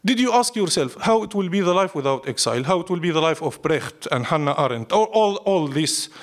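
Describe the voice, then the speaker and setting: A man speaks in a somewhat high-set voice with a Syrian Arabic accent, his native language being Arabic. He is a poet being interviewed, reflective and declarative. He builds his points in short, repeated, emphatic phrases. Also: lively intonation, brisk. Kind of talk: live interview, reflective storytelling.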